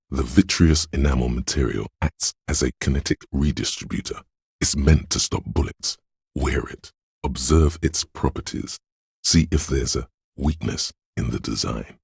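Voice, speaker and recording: A man voicing the grave, analytical inner voice of a detective's mind in a video game, narrating in a measured, deliberate, gravelly way. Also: very deep voice, dry recording, clean studio sound, full character voice. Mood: measured, observant. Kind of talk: scripted second-person game narration.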